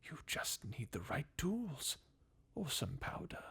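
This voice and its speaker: A performer voicing a jaded, sardonic undead warrior from a fantasy video game, in a breathy, husky half-whisper with a weary, mocking manner. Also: medium pitch, big pitch swings, slow, fairly bright tone, exaggerated voice. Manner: sardonic, weary, chuckling bleakly. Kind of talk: fan redub of video game dialogue, ASMR-like.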